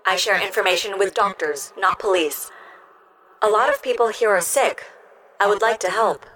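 A woman's voice: mid-range voice, moderate pace, a bit bright, close to an everyday voice. A woman playing an earnest, curious health robot in an audio drama, speaking in precise, formal sentences. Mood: earnest, reassuring. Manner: precise, polite, formal wording.

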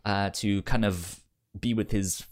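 A man's voice: lowish voice, steady tone, a little slow. A man hosting a podcast in a casual, chatty style, often stammering and repeating words and syllables as he goes. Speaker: podcast host.

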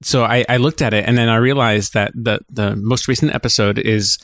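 A man co-hosting a podcast, chatting informally and telling things in a halting, conversational way. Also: fairly low pitch, steady tone, fairly bright tone, no room sound, clean studio sound. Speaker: podcast co-host. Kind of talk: casual chat.

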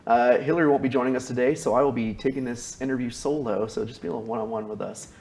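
Fast, gravelly-voiced man hosting. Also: mid-range voice, fairly even intonation, a little mellow. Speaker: talk show host. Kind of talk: casual one-on-one interview.

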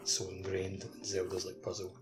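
Casting: male podcast co-host, slightly low voice, relaxed informal phrasing. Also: moderate pace, soft background music. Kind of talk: casual chat.

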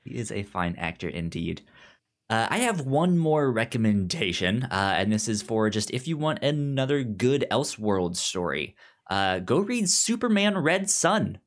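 A man with a lowish voice co-hosts a podcast in a casual, conversational way. He speaks haltingly, often doubling up on words and restarting phrases as he gives his recommendations. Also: big pitch swings. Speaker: podcast co-host.

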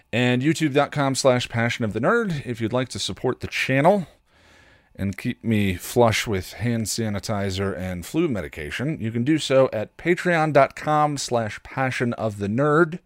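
A man hosting a casual solo podcast, talking in a rambling, halting way as he thinks aloud. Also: medium pitch, no room sound, studio quality. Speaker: podcast host and content creator.

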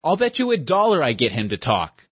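A man's voice: fairly high pitch, wildly swooping pitch, brisk, a bit bright, husky, dry recording, studio quality, full character voice. A man voicing a bold, bossy young girl who wants to be a reporter, talking in a pushy, brash way.

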